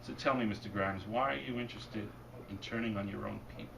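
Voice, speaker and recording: A man reading his fiction aloud at a bookstore event, deadpan and wry, and losing his voice. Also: fairly low pitch, fast talker, creaky. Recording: distant mic, noisy room. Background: audience laughter.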